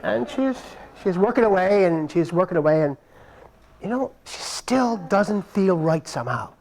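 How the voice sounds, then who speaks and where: A man's voice: high voice, lively intonation, moderate pace, darkish tone, slight background noise, poor audio. A man telling a folktale live on stage, playful and repeating his phrases for effect. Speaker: storyteller. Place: stage before a live audience.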